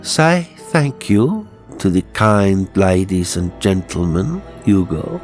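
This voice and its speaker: A man playing a male stage ventriloquist in a horror audiobook, coaxing and commanding in a measured way. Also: low voice, sing-song, darkish tone, soft background music, full character voice. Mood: calling out, commanding.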